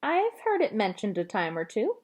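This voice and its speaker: A woman puts on a gentle old lady from a storybook. She is folksy and given to exclaiming, and her pitch swings in a lively way. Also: normal speed. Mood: amused, eager. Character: gentle elderly woman savoring sweets and stories.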